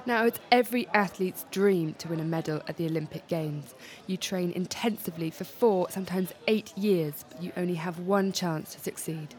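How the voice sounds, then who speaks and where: A woman's voice: fairly low pitch, moderate pace, few pauses, a little mellow. A woman co-hosting a radio show, reading intros and asking long questions full of "sort of" and "um". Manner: scripted intros, rambling hedged questions. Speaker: radio host. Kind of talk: radio presenting and interviewing.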